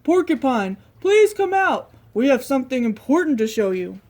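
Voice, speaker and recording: A woman voicing a big, hearty male bear who calls out loudly. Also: normal speed. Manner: loud booming exclamations.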